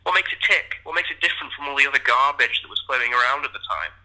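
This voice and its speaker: An actor playing a sardonic, blunt literary friend in an audio play, heard through a telephone filter. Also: male voice, medium pitch, expressive, fast talker, sharp tone, low-quality recording. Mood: exasperated. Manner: wry, blunt, argumentative.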